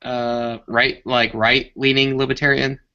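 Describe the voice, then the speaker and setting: Casual male hangout participant, forceful bright tone, recorded over a lo-fi internet call. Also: mid-range voice, flat delivery, a little slow. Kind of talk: casual group chat. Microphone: online voice call. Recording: dull lo-fi internet call audio.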